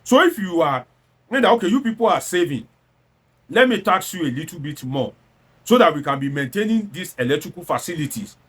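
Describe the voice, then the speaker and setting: A man's voice: fairly high pitch, sing-song, fairly quick, fairly bright tone, breathy edge. A man with a Nigerian accent delivers opinionated commentary as a show host, emphatic and exhortatory, mixing in Pidgin and pressing points with rhetorical questions and repetition.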